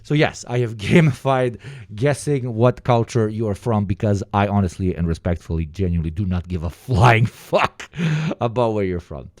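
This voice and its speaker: A man with an Eastern European accent, reacting to a video in casual, profane, rambling commentary with sudden animated bursts. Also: lowish voice, moderate pace, no room sound, studio quality.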